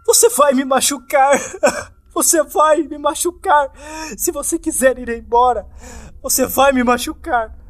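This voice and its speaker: A man's voice: very high-pitched, unhurried, a bit bright, voice pushed up. A man voicing a terrified, pathetic double of a horror story's hero, crying and pleading in a repetitive whimper. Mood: sobbing, frightened.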